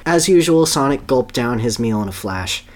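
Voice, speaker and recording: A podcast host giving an animated dramatic reading of a story, with amused asides. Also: medium pitch, moderate pace.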